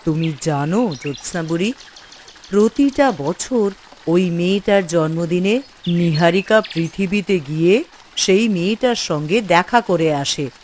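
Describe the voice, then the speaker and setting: A woman's voice: fairly low pitch, animated, measured pace, a little mellow, slight background noise. A woman voicing a grave, guilt-burdened fairy queen in a fairy-tale cartoon, solemn and reflective. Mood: troubled.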